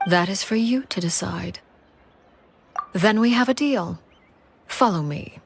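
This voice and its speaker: A woman playing a shrewd, commanding lady of authority in an anime-style game, formal, composed and deliberate. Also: medium pitch, gravelly. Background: music.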